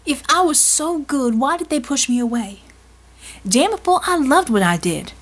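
A tough woman bartender from an audiobook story, as a woman narrator plays her. She is a proud, wounded ex-soldier with a middling pitch and a sardonic, defiant edge. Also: moderate pace, close to an everyday voice. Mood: hurt, angry.

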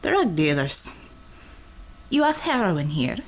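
A brash, mocking Russian commissar, as a woman reader puts her on, with a heavy put-on Russian accent. She talks in blunt, clipped phrases that drop their articles. Her pitch swings widely and sits a little higher than the narration, which keeps her lively. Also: slow, heavily acted. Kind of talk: audiobook character reading.

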